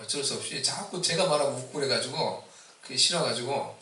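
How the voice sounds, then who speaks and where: Male pastor from Seoul, Easter sermon, ringing bright tone, distant mic in an echoey church hall. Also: fairly quick, slight background noise. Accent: Seoul Korean with a mix of Busan dialect. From Seoul, Korea. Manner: rises loud and high on exhortations, asks the congregation questions.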